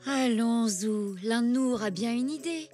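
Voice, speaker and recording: A woman voicing a warm, encouraging zebra mother in a French children's cartoon. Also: a little high, measured pace, close to an everyday voice. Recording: music behind.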